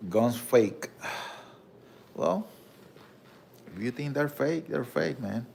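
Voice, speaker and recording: A man with a Puerto Rican accent hosting a casual livestream, reading viewer comments and showing off his collection, with a relaxed manner and frequent "bro" and "okay?". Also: mid-range voice, slow pace, creaky, a little hiss or hum. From Puerto Rico. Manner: relaxed, repeats "bro" and "okay?", many fillers.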